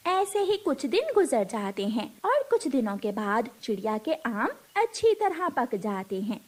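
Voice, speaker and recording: A woman's voice: a little high, sing-song, unhurried. A woman narrating a scripted children's cartoon story as the storyteller.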